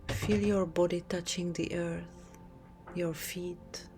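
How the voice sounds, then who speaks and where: Hushed, slow woman guiding relaxation. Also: on the low side, flat delivery. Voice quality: soft, breathy half-whisper. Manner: slow, hushed, soothing, with long pauses. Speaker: yoga nidra teacher. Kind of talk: guided relaxation meditation. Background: music.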